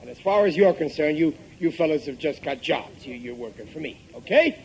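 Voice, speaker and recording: A man's voice: on the high side, animated, brisk, a little mellow, lightly acted, poor audio. A man playing a gullible, cocky working-class father in a 1950s radio comedy, exclaiming in ungrammatical everyday speech. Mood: smug. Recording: vintage 1950s radio recording.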